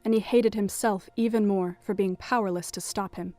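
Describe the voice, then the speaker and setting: A woman's voice: medium pitch, steady tone, normal speed, dark tone, no echo. A woman reading scripted third-person narration for an audio drama as its storyteller. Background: music.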